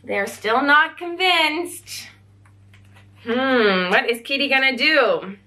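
A young woman reads a picture book to children in an expressive, wondering way. Her voice is bright and swoops with animation, and she takes her time, often pausing to ask questions. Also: sits high. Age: young adult. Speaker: children's library storyteller. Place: small indoor room.

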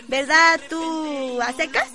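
A woman's voice: high voice, steady tone, takes it slowly. A woman with a Mexican accent playing a brash, mocking fifteen-year-old schoolgirl in a radio drama, teasing in slang. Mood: playful.

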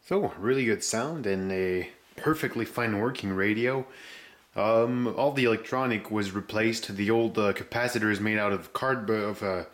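Low-voiced man, halting casual walkthrough. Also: fairly bright tone, slight background noise. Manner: halting, searching for words. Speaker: hobbyist restorer presenting a rebuilt radio. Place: indoors, room with concrete floor. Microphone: handheld camera's microphone.

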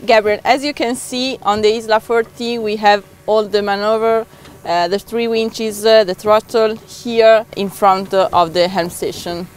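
A young woman, a boat dealer representative, explaining features in a halting, pausing way on a boat deck with a lapel mic. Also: medium pitch, fairly even intonation, clear, resonant tone. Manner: halting, with many uh pauses and non-native phrasing. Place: outdoors on a catamaran deck by the sea.

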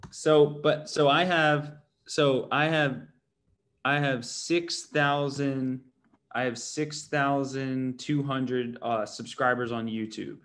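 A man's voice: mid-range voice, level tone, slow, fairly bright tone. Casual, questioning man on a call. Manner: questioning, informal. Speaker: business owner seeking advice. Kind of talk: casual chat on a one-on-one call. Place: bright indoor room at home, video call. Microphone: video call.

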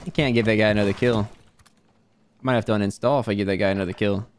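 A man's voice: fairly low pitch, steady tone, quick pace, a little hiss or hum. A young man streaming a shooter game from a room at his desk mic, talking to his chat in a brash, trash-talking way. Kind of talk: live gameplay commentary. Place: indoor room at a gaming setup. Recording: game music underneath.

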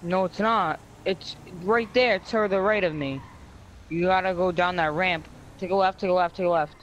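A man's voice: high voice, moderate pace, a bit bright, dull audio, a little hiss or hum. A man talking casually in slang and giving directions during a roleplay video game.

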